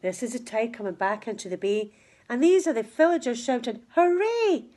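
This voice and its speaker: A woman's voice: on the high side, animated, a little fast, mellow. A woman reading a rhyming picture book aloud to children like a teacher, with emphatic, dramatic phrasing. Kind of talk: expressive children's storytelling in rhyme.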